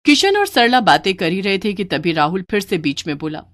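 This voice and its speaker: A woman's voice: medium pitch, very animated, brisk. A woman reading scripted narration for a moral story as its storyteller.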